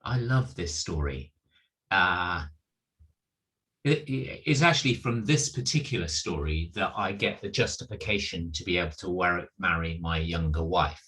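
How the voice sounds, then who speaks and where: A man preaching informally, with halting, hesitant phrasing and repeated words. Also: deep voice, expressive, bright, forceful tone. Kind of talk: informal sermon.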